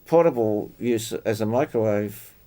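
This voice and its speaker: A man chatting casually about technical matters as a club member, explaining details in a halting way. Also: on the low side, dark tone. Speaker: club member discussing radio gear.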